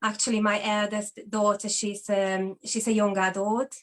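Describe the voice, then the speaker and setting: A woman's voice: mid-range voice, flat delivery, moderate pace. A woman in her forties from Hungary with a Hungarian accent, a guest sharing her own story in an interview in an earnest, open way. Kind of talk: personal testimony in an interview.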